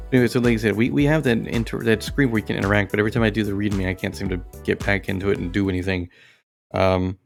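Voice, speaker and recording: A man hosting casual, unscripted game commentary, halting and rambling as he searches for words. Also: lowish voice, gravelly. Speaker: host of an indie game review channel.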